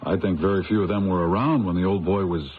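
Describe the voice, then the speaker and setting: An actor playing a curious, jovial American psychology researcher in an old-time radio drama, with an American accent. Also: male voice, low voice, steady tone, quick pace, natural voice. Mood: curious, amused. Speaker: actor playing narrator and lead. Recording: dull, noisy old radio recording, music.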